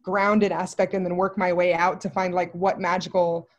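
A woman's voice: on the low side, moderate pace, highs cut off. Rambling woman thinking aloud. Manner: rambling, animated phrasing with many asides. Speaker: film writer and director, interview guest. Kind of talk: casual, thinking-aloud interview answers. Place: indoor room on a video call.

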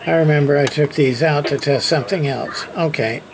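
A man giving a casual hands-on repair demonstration, thinking aloud in a hesitant, halting way as he goes. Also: on the high side. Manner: hesitant, halting, muttering to himself. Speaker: hobbyist radio repair presenter. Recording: clear background room noise.

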